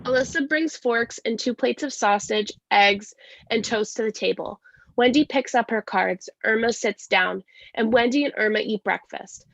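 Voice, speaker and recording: A woman's voice: on the high side, moderate pace, vocal fry, a bit bright, dry recording, lo-fi sound. A woman giving scripted narration, reading stage directions at a play reading.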